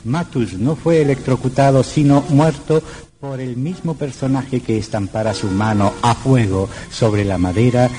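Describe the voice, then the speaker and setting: An actor narrating an old radio horror serial in a grave, deliberate, dramatic manner. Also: male voice, medium pitch, mellow, lightly acted. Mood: grave, suspenseful.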